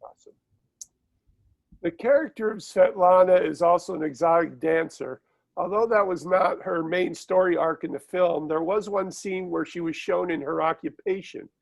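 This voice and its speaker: A man's voice: high-pitched, moderate pace, creaky. A male interviewer reading prepared questions over a video call, formal and brief in his replies. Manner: formal questions with short affirmations. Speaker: interviewer, film site host.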